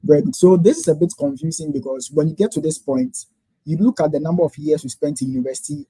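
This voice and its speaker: Fast-talking Ghanaian man in his twenties. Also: on the high side, dark tone, husky, no room sound, rough recording. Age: 20s. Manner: quick, eager, explaining step by step. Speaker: guest. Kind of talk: interview answers.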